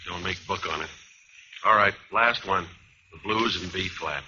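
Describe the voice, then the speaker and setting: A man acting a weary, terse jazz bandleader in clipped, deadpan 1950s radio noir style. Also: low voice, measured pace, heavy background noise. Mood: wry, world-weary. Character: weary, hard-boiled jazz bandleader, terse and world-worn. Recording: muffled vintage radio recording, music behind.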